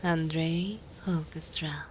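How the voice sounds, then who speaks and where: A woman hosting an ASMR channel, speaking softly and deliberately in a breathy half-whisper. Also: deep voice, steady tone. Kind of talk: soft ASMR channel outro. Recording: faint background noise.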